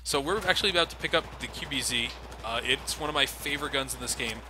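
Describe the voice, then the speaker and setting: A man's voice: mid-range voice, fast talker. A man giving casual, sardonic commentary over a video game, swearing offhand and laughing often. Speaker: let's play commentator. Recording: noisy home recording.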